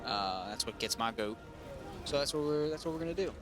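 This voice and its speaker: Let's-play host: man, mid-pitched, slightly raspy, rambling casual delivery, music under him. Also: expressive, brisk. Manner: rambling, full of ums and restarts, reads quest log aloud. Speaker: gaming streamer.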